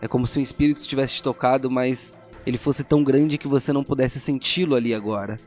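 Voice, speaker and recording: A man narrating a tabletop fantasy story as game master, in a grave, deliberate storytelling manner. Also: medium pitch, fairly even intonation, a little mellow. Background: faint music.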